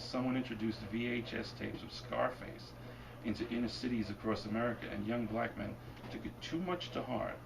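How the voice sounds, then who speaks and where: A man reading his fiction aloud at a bookstore event, deadpan and wry, and losing his voice. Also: mid-range voice, vocal fry. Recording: distant mic, noisy room. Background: audience laughter.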